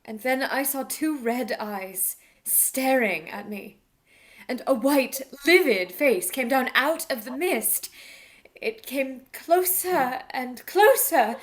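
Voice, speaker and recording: A woman playing a high-voiced, delicate young lady. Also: lively intonation, slow. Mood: haunted. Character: delicate, troubled young gentlewoman under a vampire's spell. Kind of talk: theatrical radio play acting.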